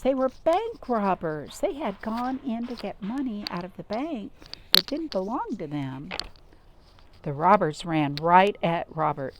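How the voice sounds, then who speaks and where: A woman reading a picture book aloud to young children, expressive, with dramatic gasps and questions to her listeners. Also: medium pitch, background noise. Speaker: storytime reader.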